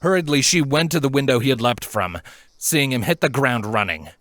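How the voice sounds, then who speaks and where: A man narrating a scripted story in an expressive, dramatic manner. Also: medium pitch, a little fast, no echo, clean studio sound. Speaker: fan fiction reader, narrator.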